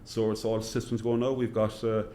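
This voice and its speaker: A middle-aged man with a Cork Irish accent, answering interview questions as a hospital chief executive in a measured way, repeating phrases for emphasis. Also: fairly low pitch, level tone, warm tone, a little hiss or hum. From Cork, Ireland.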